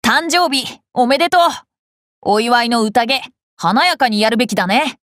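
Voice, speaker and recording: A young boy king from an anime game, high and very animated in pitch. He is cheerful and curious, with a polite, playfully confident way of talking. The sound is close and dry. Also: normal speed, no room sound. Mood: pleased, bright. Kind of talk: Japanese anime game character lines.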